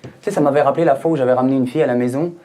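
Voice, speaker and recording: A man's voice: medium pitch, rapid-fire, warm tone, a little hiss or hum. A man from France doing a stand-up routine on a TV show stage, chatty and teasing the audience. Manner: jokey, conversational, teasing the audience. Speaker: stand-up comedian. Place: TV studio stage with live audience. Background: audience laughter.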